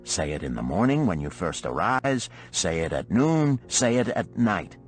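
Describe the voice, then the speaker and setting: A man performs a wise, rich Babylonian elder from a storybook parable. His voice sits fairly low and swings expressively as he instructs with formal, self-assured rhetoric. Music plays underneath. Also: sing-song, moderate pace. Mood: instructive, stirring. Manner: rhetorical, formal, emphatic oratory. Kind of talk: dramatised storybook parable reading.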